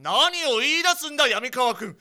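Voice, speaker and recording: A man's voice: very high voice, expressive, normal speed, sharp tone, heavily acted. A man playing an oblivious, pompous company president in a comedic radio drama, with a jovial theatrical manner. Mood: indignant. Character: oblivious cheerful male company president, pompous when offended.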